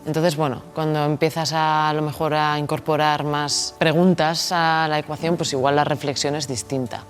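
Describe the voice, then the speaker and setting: A woman's voice: deep voice, level tone, normal speed, soft background music. A woman film director from the Basque Country with a Basque Spanish accent, answering interview questions in a thoughtful, hesitant way and often repeating words as she searches for them. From Basque Country, Spain.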